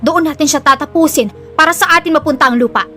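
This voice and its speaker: A woman voicing a vicious, angry, scheming mother in an audio drama, shrill and menacing. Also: fast, sharp tone. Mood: plotting. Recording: music under the voice.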